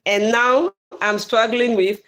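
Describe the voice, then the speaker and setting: A Cameroonian-accented woman whose first language is Bakweri, hosting a live stream, reading out viewer comments in a lively way with frequent laughs and pidgin phrases. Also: a little high, takes it slowly. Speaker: livestream host.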